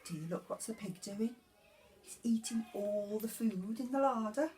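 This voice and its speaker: A woman's voice: on the high side, moderate pace, a little mellow, recorded from a distance. A woman reading a picture book expressively to young children, often putting questions to the listener. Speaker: nursery story reader.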